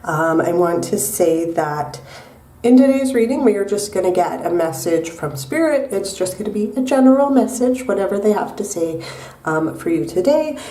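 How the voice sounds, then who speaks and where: A young woman giving a tarot reading in a soft, unhurried way with many pauses and ums, her voice nasal from a cold. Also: mid-range voice, animated, distant mic. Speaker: tarot reader. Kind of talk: pick-a-card tarot reading. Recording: echoey room, mic set back.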